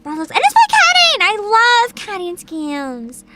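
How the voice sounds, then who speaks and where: A young woman hosting a livestream, chatting casually with an excitable, giggly, informal manner. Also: very high-pitched, measured pace. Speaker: livestream host, vtuber. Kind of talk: casual livestream chat and reactions. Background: background music.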